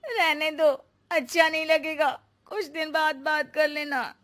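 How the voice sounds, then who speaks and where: A woman's voice: sits high, little pitch movement, a little slow, fairly bright tone. A woman voicing a put-upon, exasperated newlywed working wife in a cartoon story, with a plaintive, complaining manner. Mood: resigned. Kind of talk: animated cartoon story dialogue.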